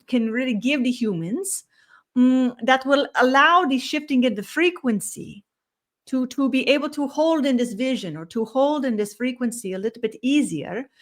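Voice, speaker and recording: A woman voicing a benevolent collective spirit guide who speaks as "we", humming "mm" and trailing off into soft murmured "you see". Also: high-pitched, natural voice. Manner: measured, gently instructive. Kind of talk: channeled spiritual session.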